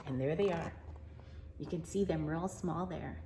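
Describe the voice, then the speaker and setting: A woman reading a picture book aloud to children in an expressive, wonder-filled way, with chatty asides and hushed moments. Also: low-pitched, measured pace, slight background noise. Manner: animated, wonder-filled, addressing young listeners, dropping to hushed tones.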